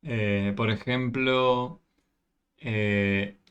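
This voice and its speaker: A man's voice: on the low side, steady tone, very slow, a bit bright, clear, resonant tone, muffled recording. Patient man tutoring math. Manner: patient, asks frequent check questions. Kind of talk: online class explanation.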